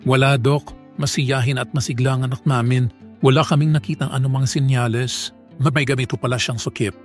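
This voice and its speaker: Brief: male actor as concerned dad, mid-pitched, subdued, audio drama with light music bed. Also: fairly even intonation, fairly quick, lightly acted. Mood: subdued, worried. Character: subdued, worried father of a sick son. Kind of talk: Filipino audio drama dialogue.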